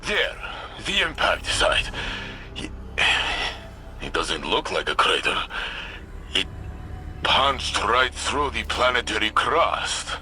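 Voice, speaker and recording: A man voicing an earnest, warm-hearted veteran warrior in a sci-fi video game. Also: on the low side, sing-song, slow pace, long pauses, exaggerated voice. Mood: earnest, curious. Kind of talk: video game character dialogue. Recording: music behind the voice.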